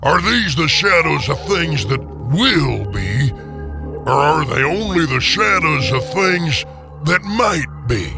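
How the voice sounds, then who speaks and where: An old miser in a radio play, overwrought and exclamatory. The voice sits high and swoops widely, with a creaky edge. Every phrase is pushed with full emotional emphasis. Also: male voice, sing-song, slow, over music, full character voice. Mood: grave dread. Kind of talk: radio theater acting.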